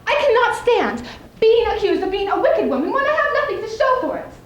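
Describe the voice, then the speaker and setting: A young woman performing a stage monologue as a spirited, scheming widow, speaking emphatically with deliberate theatrical pauses. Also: extremely high pitch, very animated. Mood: indignant, spirited. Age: young adult. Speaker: student speech team performer. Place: indoor school showcase stage. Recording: echoey room, clearly audible background noise.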